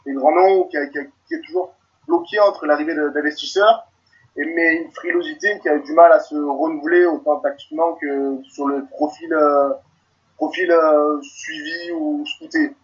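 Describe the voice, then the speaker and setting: A man's voice: on the high side, fairly even intonation, normal speed, muffled recording. A man hosting a football podcast, asking a long, hesitant question with repeated words.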